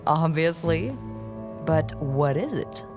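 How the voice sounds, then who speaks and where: A woman's voice: low voice, very animated, slow pace, over music, exaggerated voice. A woman playing a teasing, sardonic young hotel receptionist in a video game cutscene. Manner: playful sarcasm.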